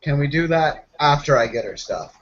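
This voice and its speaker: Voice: medium pitch, expressive, normal speed, fairly bright tone, dull audio. A player voicing a jumpy, timid, slang-using member of a werewolf pack in a tabletop roleplaying game, sex unclear. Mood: cautiously agreeable. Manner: nervous, stammering, casual slang.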